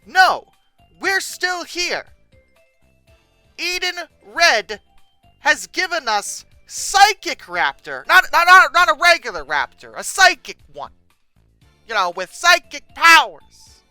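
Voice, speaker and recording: Bright voice, exasperated comic commentary, dramatic emphasis, dry close sound, music behind. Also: high-pitched, big pitch swings, takes it slowly, pauses often, dry recording. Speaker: video host. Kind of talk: casual humorous commentary on pictures.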